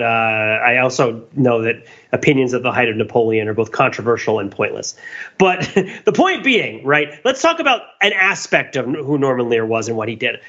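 A man's voice: mid-range voice, fairly bright tone, dull audio. A man co-hosting a podcast, talking through his analysis in a casual, rambling, self-interrupting way with wry asides. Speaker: podcast co-host.

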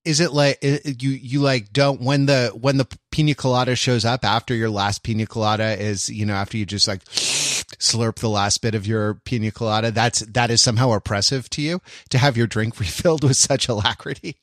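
A man's voice: mid-range voice, steady tone, brisk, hardly any pauses, a bit bright, no echo, studio quality. A man hosting a casual podcast chat in a wry, digressive way. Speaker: podcast host.

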